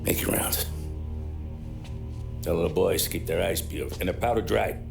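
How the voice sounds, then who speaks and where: A man's voice: deep voice, normal speed, vocal fry, soft background music. A man playing a volatile, menacing, foul-mouthed American mob boss in a cinematic game. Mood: grim, commanding. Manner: menacing, profane.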